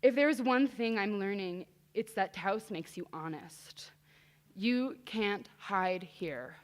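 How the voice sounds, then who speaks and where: A woman reading a personal essay aloud to an audience in a reflective, deliberate manner. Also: mid-range voice, animated. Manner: slow, reflective, deliberate delivery. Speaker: reader at a literary event.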